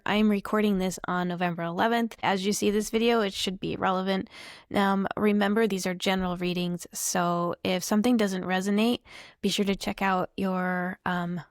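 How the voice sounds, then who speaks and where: A slightly hoarse woman giving a casual tarot reading to camera, chatty and reflective, thinking aloud as she goes. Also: mid-range voice, no echo, clean studio sound. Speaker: tarot reader.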